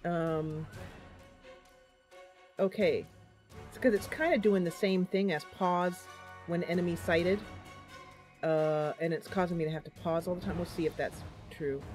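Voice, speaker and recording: A woman's voice: on the low side, fairly even intonation, a little slow, long pauses, a little mellow, soft background music. A woman hosting a gaming channel, chatting casually through her gameplay and thinking aloud with lots of fillers.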